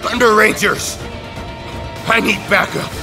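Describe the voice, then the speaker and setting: An actor in a superhero audio drama playing a ruthless, commanding, menacing warlord, with loud music underneath. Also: male voice, high voice, fairly even intonation, slow. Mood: furious, commanding.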